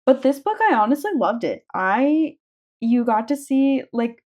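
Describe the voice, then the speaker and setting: Higher-voiced woman, casual book chat. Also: expressive, unhurried, darkish tone. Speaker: podcast co-host.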